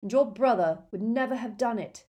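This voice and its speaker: A woman's voice: mid-range voice, expressive, mellow, pitched above the performer's own voice, lightly acted. A woman voicing a determined teenage boy hero who talks urgently. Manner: urgent, fast-talking.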